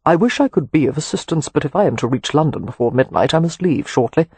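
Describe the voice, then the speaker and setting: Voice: mid-range voice, lively intonation, quick pace, a little mellow, breathy edge, no echo. Narrator doing a cold, curt doctor. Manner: curt, dismissive. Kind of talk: audiobook character voice.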